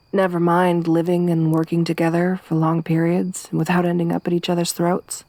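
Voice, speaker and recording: A woman voicing a tough, wry young survivor woman in a soft-spoken ASMR roleplay, with a dry, teasing, gruff manner. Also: fairly low pitch, little pitch movement, normal speed, no room sound, natural voice. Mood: low-key, wry.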